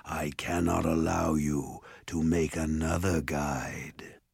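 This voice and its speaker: A huge, cruel ogre from a storybook. He booms and growls his boastful threats very slowly, drawing out each grand phrase with menace. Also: fairly even intonation, gravelly, a little mellow, slightly rough, no room sound, studio quality, exaggerated voice. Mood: cold menace. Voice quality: booming, deep growl. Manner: slow, drawn-out, grandiose threats.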